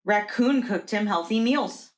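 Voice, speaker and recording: A woman's voice: mid-range voice, unhurried. A woman reading a picture book aloud to young children as a preschool teacher.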